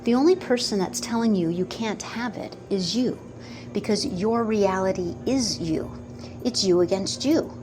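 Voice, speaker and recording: A woman in her fifties giving a slow, earnest motivational talk about manifesting, pausing often between phrases. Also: mid-range voice, music in the background. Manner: slow, pausing, earnest, quotes doubters in put-on voices. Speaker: manifestation coach.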